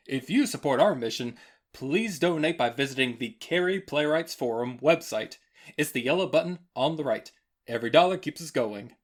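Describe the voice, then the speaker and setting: A man reading scripted announcements as the host of a radio play show, in a polished, deliberate manner. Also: mid-range voice, a bit bright.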